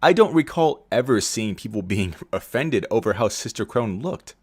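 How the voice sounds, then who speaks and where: Animated low-voiced man giving opinions. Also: normal speed, breathy edge. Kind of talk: personal opinion commentary.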